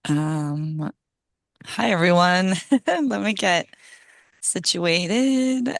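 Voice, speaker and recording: A middle-aged woman, hoarse from a cold, giving a casual presentation on a video call with many ums and small laughs. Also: on the low side, very slow, dry recording, studio quality. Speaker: guest speaker, ecologist. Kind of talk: online meeting presentation.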